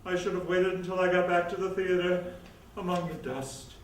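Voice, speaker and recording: A man's voice: high-pitched, steady tone, distant mic, lightly acted. Man as a hammy theater producer, echoey stage. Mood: feigned dying. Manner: hammy, drawn-out pleading. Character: melodramatic male theater producer faking his deathbed, scheming, grandiose. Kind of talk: staged reader's theatre comedy. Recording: roomy stage recording from afar, faint noise.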